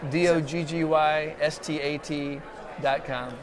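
A middle-aged man with a slightly raised voice chats loosely at a trade show booth, doubling back on his words with "uh" and "um." A lapel mic picks him up clearly while a steady crowd murmurs behind him. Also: on the high side, a little slow. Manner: relaxed, loose, many fillers and restarts. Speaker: exhibitor guest. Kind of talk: casual interview. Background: crowd chatter.

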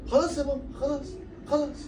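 A man's voice: extremely high pitch, little pitch movement, rapid-fire, over music. A young man with an Egyptian Arabic accent playing a sick son in a comedy sketch, whiny and pleading. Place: living room at home. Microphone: far camera. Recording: echoey room from far off.

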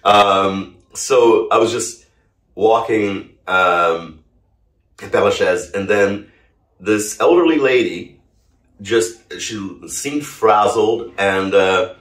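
Low-voiced man from Brazil, roomy sound. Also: takes it slowly, a bit bright. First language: Portuguese. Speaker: interview guest, polyglot. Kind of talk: casual conversational storytelling. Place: indoor living room, on a sofa. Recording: roomy, distant microphone.

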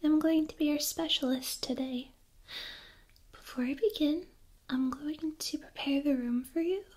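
A young woman playing a gentle, attentive Reiki specialist in an ASMR roleplay, soft-spoken and often whispering, soothing and hushed. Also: high voice, measured pace, pitched above the performer's own voice. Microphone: close binaural microphone.

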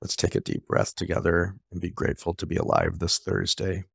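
A middle-aged man hosting in a conversational coaching style, emphatic and deliberate, on a headset mic. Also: deep voice, monotone, creaky, fairly bright tone, husky, no echo, studio quality. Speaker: host. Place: office, video call.

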